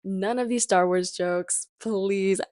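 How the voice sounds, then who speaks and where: A woman's voice: mid-range voice, steady tone, takes it slowly, a little mellow, no room sound, clean studio sound. A 21-year-old woman hosting a casual, reflective solo podcast in a chatty, rambling way with lots of filler words. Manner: chatty, rambling, sprinkled with "like" and "you know". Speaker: podcast host.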